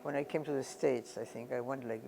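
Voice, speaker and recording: An older woman from Argentina, a painter giving reflective interview answers, unhurried and often searching for words and repeating phrases. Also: very deep voice, steady tone, gravelly, background noise.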